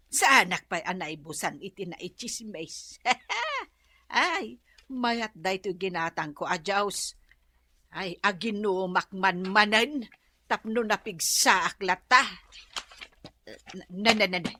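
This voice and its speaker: A woman's voice: mid-range voice, sharp tone, no echo, heavily acted. A woman with an Ilocano accent playing a gossipy, nagging middle-aged wife in a comedy radio drama. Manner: exclamatory, scolding and chatty.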